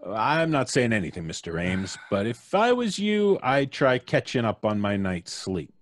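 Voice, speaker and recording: An actor playing a skeptical, blunt, streetwise police officer in an old-time radio suspense drama, talking colloquially. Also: male voice, mid-range voice, sing-song, a little slow, no echo, clean studio sound. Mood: dismissive. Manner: colloquial, wry, placating.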